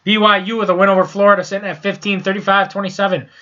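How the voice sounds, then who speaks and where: A man's voice: sits high, flat delivery, very fast, pushed and bright, dull audio. A young man with a congested, sinus-clogged voice, casually recapping college football scores, blunt and profane, often stumbling and restarting. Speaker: sports podcast host. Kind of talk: casual solo sports recap.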